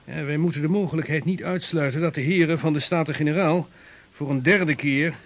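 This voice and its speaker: A man's voice: a little high, brisk. Casting: male actor as an assured merchant statesman, radio play. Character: confident 16th-century Dutch merchant statesman. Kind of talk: radio drama acting.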